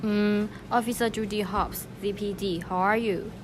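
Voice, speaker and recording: A woman playing an eager, hurried young police officer in an amateur radio play. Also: mid-range voice, steady tone, natural voice. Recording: noisy amateur room recording.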